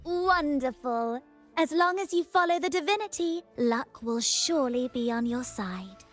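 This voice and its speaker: A woman's voice: high voice, lively intonation, a little slow. An actress playing a vain, theatrical young woman who savors her words in a video game. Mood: pleased. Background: game music.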